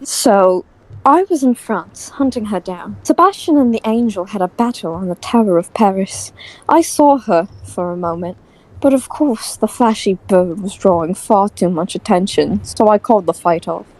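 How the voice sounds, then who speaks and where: A woman's voice: mid-range voice, expressive, normal speed, darkish tone, natural voice, rough recording. A woman voicing a proud, easily exasperated young aristocratic boy in an audio drama, with a dry, formal, indignant way of talking. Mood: earnest recounting.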